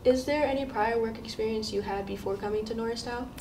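Quick young woman interviewer, slightly high voice. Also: darkish tone, slight background noise. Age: teens to young adult. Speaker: student interviewer. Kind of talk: interview questions. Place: indoor school studio with curtain backdrop.

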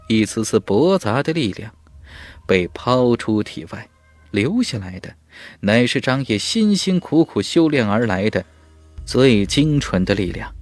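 Animated male audiobook narrator over faint music. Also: mid-range voice, no room sound. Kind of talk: dramatic scripted audiobook narration.

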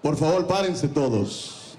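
A man's voice: fairly high pitch, expressive, slow pace, darkish tone. A man hosting an awards gala on stage, speaking emphatically like a preacher, blessing the crowd and calling for applause through a handheld microphone. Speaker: event host. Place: large banquet hall, on stage. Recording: echoey banquet hall.

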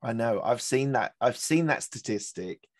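A man's voice: mid-range voice, lively intonation, moderate pace, dry recording, clean studio sound. A male podcast host with a middling voice asks his guest questions in a chatty, rambling way. He drifts into asides and backtracks before he finishes his point.

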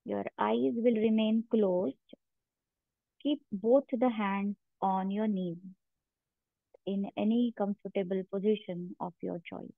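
A woman guiding a meditation as a yoga instructor, giving measured instructions with long pauses between them. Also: mid-range voice, steady tone, creaky, dry recording. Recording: narrow phone-like sound, very dry.

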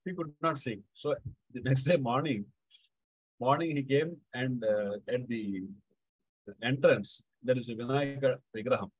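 A middle-aged man with a South Indian accent tells a devotional anecdote casually, halting and repeating phrases. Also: mid-range voice, slightly rough, no echo, rough recording. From Andhra Pradesh, India. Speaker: Carnatic musician, guest speaker.

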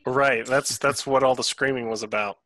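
A man's voice: mid-range voice, steady tone, moderate pace, bright, forceful tone, no room sound. A man playing a tabletop roleplaying game, talking casually in character in a sceptical, sarcastic manner.

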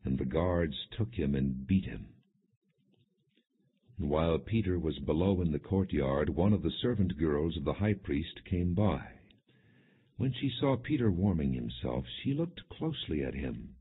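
Male audiobook narrator for scripture: low, husky, solemn, measured delivery. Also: expressive. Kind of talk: scripted scripture narration, non-dramatized reading. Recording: muffled, narrow phone-like sound.

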